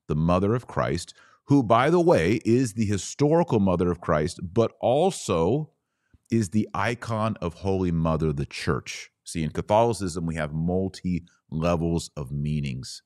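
An American man with a very deep voice presents a religious teaching podcast. He explains point by point in a didactic manner, setting off key words with deliberate pauses. The sound is dry, with hardly any room to it. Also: darkish tone, no room sound. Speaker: podcast host.

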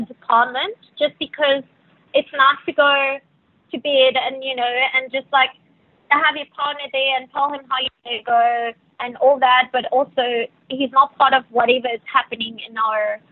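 A woman's voice: sits high, steady tone, normal speed, no room sound. A woman from Venezuela whose first language is Spanish, speaking English with a South African accent, answering interview questions with many hesitations. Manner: hesitant, many fillers and restarts. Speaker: yacht crew member, guest. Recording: tinny phone line, dry.

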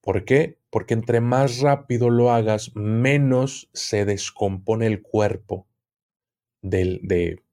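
A man's voice: fairly low pitch, slow pace, dark tone. A man who is an occultism expert guest, explaining at length in an interview and often repeating words while he searches for them.

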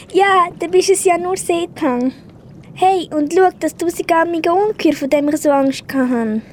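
A teenage girl voices a very high-pitched, excitable little fish with a Swiss German accent. It sounds like an amateur school radio play, with a faint rumble behind. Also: measured pace. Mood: amused relief.

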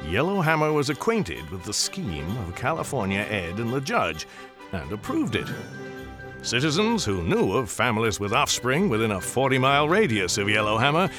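An actor narrating an Old West tale in a radio drama, reading scripted storybook prose. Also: male voice, medium pitch.

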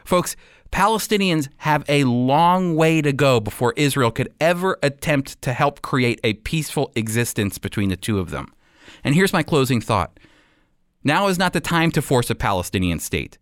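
A man's voice: mid-range voice, very animated, normal speed, dry recording, studio quality. A man hosting a show, giving scripted opinion commentary in an earnest, emphatic way, speaking straight to listeners. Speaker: show host.

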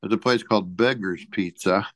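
A man's voice: lowish voice, moderate pace. A man co-hosting a podcast, telling anecdotes in casual, offhand chat. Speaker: podcast co-host.

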